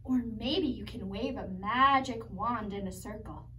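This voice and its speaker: Children's music teacher, woman in her thirties, high voice, unhurried sing-along delivery, mic a little distant. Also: pushed and bright. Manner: cheerful sing-along with playful animal and robot sounds. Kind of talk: singing children's song lesson. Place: studio set with plants and toys, indoors. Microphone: camera a few metres away. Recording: distant mic, faint room noise.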